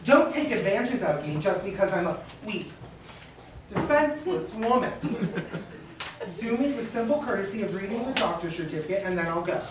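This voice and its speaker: A woman's voice: mid-range voice, animated, moderate pace, lightly acted. A woman acting a frantic, complaining woman who pleads and insists at a bank, in a stage play. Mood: accusing, shrill. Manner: whining, theatrical, insistent. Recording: roomy hall from far off, faint noise.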